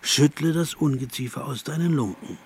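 An actor in a radio drama playing a shrunken, sly tempter who poses as a former pupil, speaking with insinuating, reproachful persuasion. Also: male voice, on the high side, normal speed, a little mellow. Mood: mocking, accusing. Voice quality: husky.

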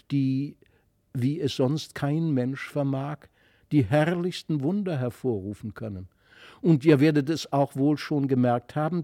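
A man voicing a mysterious, gentle fairy child in an earnest, lyrical way for a storybook reading. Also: mid-range voice, no room sound, clean studio sound. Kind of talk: literary audiobook reading.

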